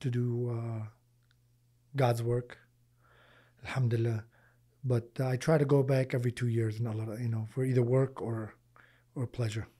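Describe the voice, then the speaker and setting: A man in his forties speaks earnestly into a close studio mic in a small podcast room. His voice is warm and a little husky, and he often circles back over his words while gathering his thoughts. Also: mid-range voice, little pitch movement, normal speed, pauses often. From Lebanon, raised in Michigan, US. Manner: earnest, repeats words while thinking, uses Arabic religious phrases. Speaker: podcast guest, charity founder. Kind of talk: casual interview conversation. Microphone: boom-arm studio mic.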